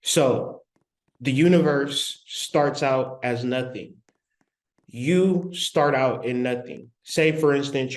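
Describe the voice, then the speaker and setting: Guy teaching spiritual stuff, real slow and deliberate, lots of pauses and repeating himself. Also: mid-range voice, rough recording. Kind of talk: spiritual lecture.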